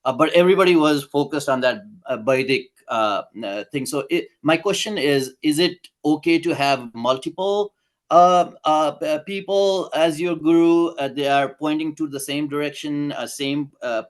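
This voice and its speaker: A man from Nepal asking a follow-up question, halting and restarting often as he searches for words. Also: on the high side. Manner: halting, many fillers and restarts. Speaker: audience member asking a question.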